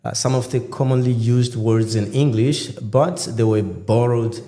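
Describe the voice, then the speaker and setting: A man's voice: mid-range voice, unhurried. Male podcast host, playful and chatty; roomy, reverberant sound. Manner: lively, playful, many fillers and restarts. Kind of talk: casual interview chat. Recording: echoey room with long reverb.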